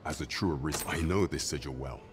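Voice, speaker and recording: Very deep-voiced, solemn royal counsellor. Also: male voice, fairly quick, over loud music. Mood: grave, pondering. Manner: formal, archaic, solemn. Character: grave, loyal male royal counsellor, formal and measured. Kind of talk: scripted fantasy game acting.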